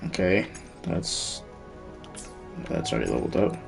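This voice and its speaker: Man, game streamer, lowish pitch, unhurried slow pace, casual thinking-aloud muttering, faint music behind. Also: big pitch swings, vocal fry. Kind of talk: casual live gameplay commentary.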